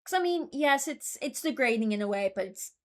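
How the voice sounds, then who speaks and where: A woman's voice: high-pitched, lively intonation, fairly quick. A woman hosting a casual reaction video, speaking informally and thinking aloud as she gives her opinions. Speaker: video host ranking game voice lines.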